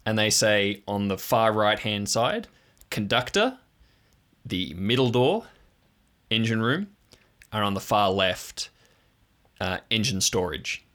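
A man improvising scene descriptions as game master of an audio drama, pausing between phrases. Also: fairly low pitch, very animated, a bit bright.

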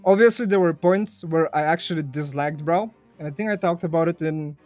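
Young man, English, easygoing podcast guest, highish voice, dry close sound. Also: brisk, darkish tone, no room sound, faint music behind. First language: Bulgarian. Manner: chatty, laughs easily, repeats phrases. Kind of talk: casual podcast interview.

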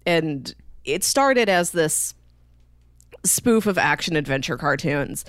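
A woman's voice: low voice, animated, slow, no room sound, clean studio sound. A woman co-hosting a podcast, talking casually and articulately about pop culture in well-formed summaries. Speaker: podcast co-host.

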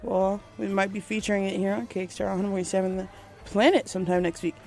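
A woman's voice: mid-range voice, fairly even intonation, fast, gravelly, darkish tone. A young woman radio DJ hosting a podcast in casual chat, bubbly and talkative.

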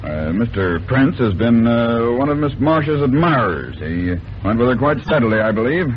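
An actor playing a discreet, uneasy theater manager in an old radio crime drama, speaking formally and hesitantly. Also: male voice, medium pitch, big pitch swings, moderate pace, natural voice. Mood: grave, explanatory. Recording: dull, noisy vintage radio recording.

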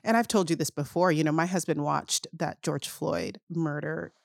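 A woman's voice: sits low, steady tone, normal speed, vocal fry, dry recording, clean studio sound. An American woman speaking casually as a podcast co-host in conversation. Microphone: close podcast microphone.

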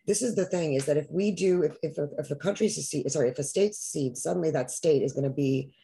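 A woman's voice: sits low, fast talker, gravelly, warm tone. A woman from New York, a podcast guest, talking in an impassioned, rambling way with many restarts. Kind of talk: emotional political conversation.

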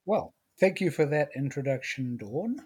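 A middle-aged man with a New Zealand accent, a guest in a casual interview, talking in a relaxed, thoughtful way. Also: mid-range voice, normal speed, slightly rough.

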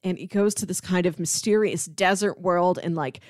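A woman co-hosting a podcast, casually retelling scenes like an animated storyteller. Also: on the low side, normal speed, dry recording, studio quality. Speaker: podcast co-host.

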